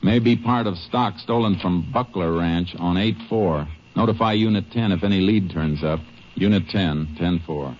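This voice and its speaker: A man acting a calm, methodical Texas Ranger in a 1950s radio drama, terse and matter-of-fact. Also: deep voice, normal speed, natural voice. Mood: focused. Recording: muffled, noisy old radio recording.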